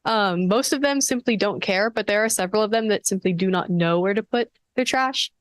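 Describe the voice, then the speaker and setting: A woman's voice: mid-range voice, brisk, dry recording. A teenage girl giving an earnest, prepared student presentation at a board meeting.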